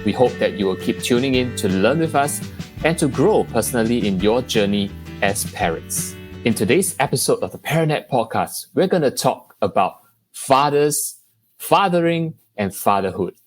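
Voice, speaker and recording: A man hosting a podcast, reading a scripted introduction in a measured, polished way. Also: mid-range voice, expressive, faint music behind. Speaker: podcast host.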